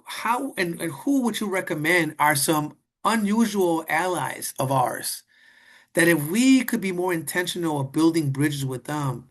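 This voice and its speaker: A man's voice: on the high side, a bit bright. A man moderating a panel discussion, talking casually and earnestly in a rambling way, often checking for agreement. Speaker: webinar moderator.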